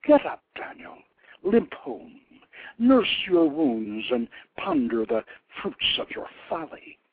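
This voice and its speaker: A man voicing an imperious, mocking goddess of war in a storybook reading, husky and raised above his usual voice. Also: fairly high pitch, huge pitch swings, takes it slowly, pitched above the performer's own voice, full character voice. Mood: scornful command. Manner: commanding, scornful. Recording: tinny phone line.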